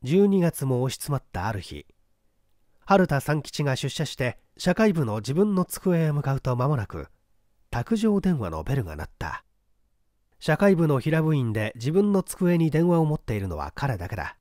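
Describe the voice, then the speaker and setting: A man's voice: a little high, sing-song, normal speed, a little mellow, no echo, studio quality. A man reading mystery fiction as scripted, theatrical audiobook narration. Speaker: audiobook reader, stage actor.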